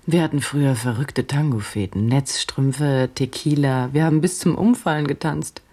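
Probing female journalist, mellow voice. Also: very deep voice, breathy edge, heavily acted. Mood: reminiscing. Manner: deliberate, analytical, clipped phrasing. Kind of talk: German radio drama acting.

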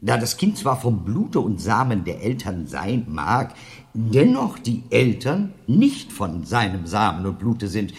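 An actor playing a pedantic, self-assured church scholar in a comic radio play, lecturing pompously. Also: male voice, lowish voice, big pitch swings, measured pace. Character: pedantic learned church jurist, pompously sure of himself.

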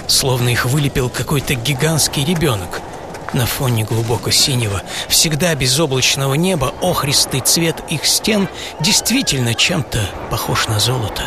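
A man narrating a scripted radio documentary in a theatrical storytelling manner, with deliberate pauses. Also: mid-range voice. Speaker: narrator and author of a radio travel series. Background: music bed.

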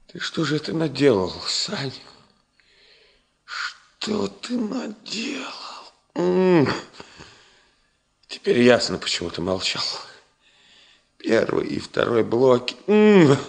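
A man's voice: mid-range voice, vocal fry, full character voice. An actor playing a brusque, agitated male chief engineer in a 1970 Soviet radio play, emotional and abrupt. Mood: shocked grief. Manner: emotional, abrupt, swinging from hushed to shouting.

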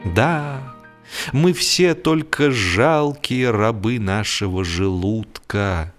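A man reading a humorous literary story as a scripted audiobook narrator, in a measured, mock-solemn and theatrical manner. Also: on the low side, faint music behind.

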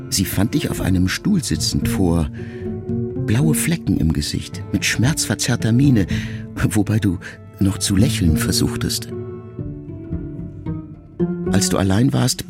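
Deep gravelly male audiobook narrator. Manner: calm, measured, reflective reading. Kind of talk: scripted first-person narration. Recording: music bed under the voice.

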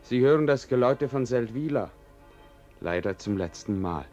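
An actor playing a wry, slyly flattering narrator in a radio drama, speaking in a measured, insinuating way. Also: male voice, mid-range voice, faint music behind, lightly acted. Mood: dry, solemn. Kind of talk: radio drama narration addressing listeners and character.

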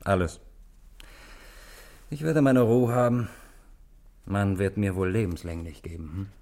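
A weary killer in a radio crime play speaks in a low male voice. He is coolly matter-of-fact, with a sardonic edge to his confession. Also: measured pace, a little mellow. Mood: bitter, resigned. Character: weary man calmly confessing to a murder.

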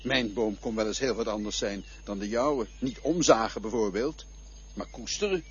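A man playing a calm, cryptic male guide who speaks of the dead in a patient, lecturing way, in a radio play. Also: fairly low pitch, moderate pace, slightly rough. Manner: patient, lecturing, quietly mocking. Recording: dull lo-fi old radio recording.

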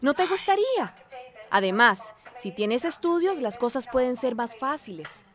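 Radio drama role: patient migrant mother, slightly high voice, gentle and persuasive. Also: very animated, moderate pace. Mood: gently persuading. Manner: gentle, reasoning. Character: caring, hard-working migrant mother urging patience.

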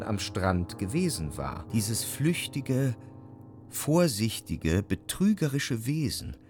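A male actor as an introspective, indecisive young man telling his story in a literary radio drama. He speaks in a measured, reflective manner at a middling pitch, with instrumental music clearly behind his voice. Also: darkish tone, natural voice. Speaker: first-person narrator in a radio drama.

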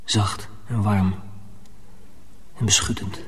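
An actor playing an earnest, protective young mill apprentice in a radio drama, measured and confiding. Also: male voice, lowish voice, flat delivery, a bit bright, husky, full character voice. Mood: tense, wondering. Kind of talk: radio drama narration and dialogue.

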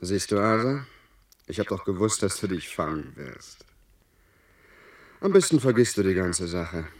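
Radio drama tempter: lowish voice, very animated melody, insistent and persuasive, recorded at some distance. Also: male voice, unhurried, heavily acted. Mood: coaxing. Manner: persuasive, insinuating, theatrical. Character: smooth-talking, insistent inner tempter urging a young lord to duty. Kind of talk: German radio play acting.

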